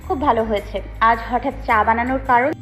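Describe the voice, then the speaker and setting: High-pitched kind young woman acted out by a woman. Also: fairly even intonation, fast talker, a bit bright, close to an everyday voice. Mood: polite, curious. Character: kind, gently coaxing young married woman, medical student. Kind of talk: audio story voice acting. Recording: slightly muffled, roomy, faint music.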